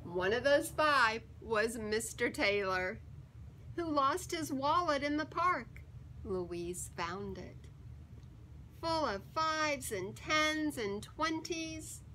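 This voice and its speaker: A woman reading a children's picture book aloud in an expressive, deliberate and emphatic storytelling manner. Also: high voice, slight background noise, poor audio. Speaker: story time reader.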